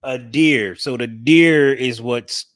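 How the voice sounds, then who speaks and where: A man's voice: mid-range voice, slow, fairly bright tone. A man co-hosting, reacting with casual, off-the-cuff commentary. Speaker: co-host reacting to a news reading.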